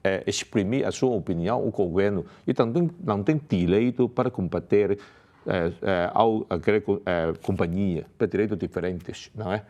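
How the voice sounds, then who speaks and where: A man speaking haltingly as a non-native speaker, full of pauses and restarts, as a candidate in a televised political debate. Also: lowish voice, darkish tone, highs cut off. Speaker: election candidate. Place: television studio.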